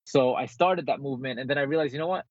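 Male motivational guest, rapid and slightly husky, dull remote-call audio. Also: mid-range voice, dry recording. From born in the Philippines, grew up in New Jersey. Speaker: interview guest, motivational speaker and author. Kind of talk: conversational motivational interview.

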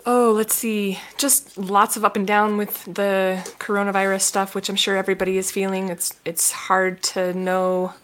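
A woman's voice: mid-range voice, level tone, normal speed, few pauses, fairly bright tone, a little hiss or hum. A woman co-hosting a podcast in candid, joking casual chat. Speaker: podcast co-host.

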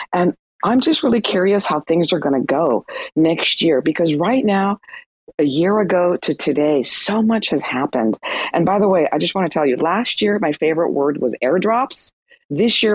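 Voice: medium pitch, husky, no room sound, low-quality recording. An artist from Iran talking passionately and rambling a little, in a casual online chat. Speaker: artist guest.

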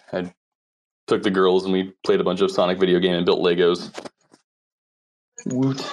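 A man hosting a casual online group chat, upbeat and quick to laugh. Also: deep voice, a bit bright. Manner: upbeat, laughs easily, talks fast about business. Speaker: host.

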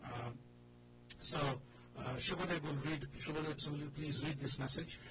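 A man hosting an event, talking off the cuff in a halting, hesitant way. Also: on the high side, fairly even intonation. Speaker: host. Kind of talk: hosting an event, unscripted talk. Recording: very loud background noise.

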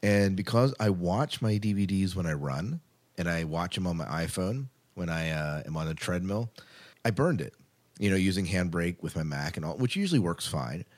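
A man's voice: low voice, animated, moderate pace. A man co-hosting a podcast in casual chat, rambling along informally and with enthusiasm. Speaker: podcast co-host.

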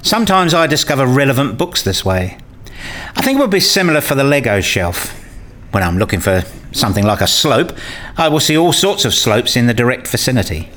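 A man's voice: medium pitch, very animated, a little fast, fairly bright tone. A man playing a wry, bookish academic who narrates and talks in a radio play, with dry, wordy scripted delivery. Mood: conversational. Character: wry male academic narrating his own puzzled days. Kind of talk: scripted first-person narration and dialogue.

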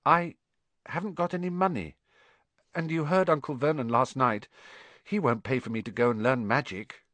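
Male narrator as young boy, storybook hero, raised pitch, eager, anxious, hesitant questions, dry sound. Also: normal speed, fairly bright tone, dry recording, close to an everyday voice. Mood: puzzled, worried.

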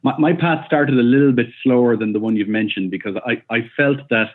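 A man's voice: medium pitch, fairly quick. A man in his forties speaking as an interview guest over a remote call, thoughtful and hesitant, with many ums and restarts. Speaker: guest, company founder. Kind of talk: casual interview answers. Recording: tinny phone-like call audio.

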